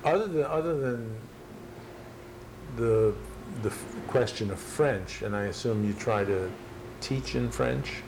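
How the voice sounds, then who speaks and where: A man's voice: mid-range voice, measured pace, gravelly, background noise. A man interviewing someone for an oral history, asking follow-up questions and giving short acknowledgements. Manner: attentive, frequent short acknowledgements.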